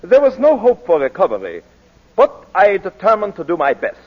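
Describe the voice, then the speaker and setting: An actor playing a grave middle-aged country doctor, formal and deliberate in an old radio drama. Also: male voice, high-pitched, huge pitch swings, full character voice. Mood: solemn, dramatic. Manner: deliberate, formal, theatrical phrasing with dramatic pauses. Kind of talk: 1940s radio drama acting. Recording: dull 1940s radio broadcast recording.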